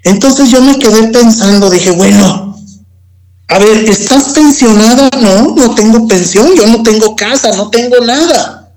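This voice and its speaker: A Mexican man giving a personal testimony as an interview guest. He talks slowly and haltingly, often repeating words before going on. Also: high voice, darkish tone, poor audio.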